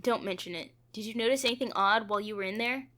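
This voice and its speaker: A woman's voice: medium pitch, fast talker, bright, forceful tone, lightly acted. A woman voicing a determined male train conductor turned detective in a visual novel, serious and courteous. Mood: businesslike.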